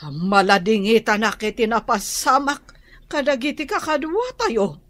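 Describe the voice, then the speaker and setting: Drama role: actress as worried village mother, Ilocano accent, very lively intonation. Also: a little high, a little slow.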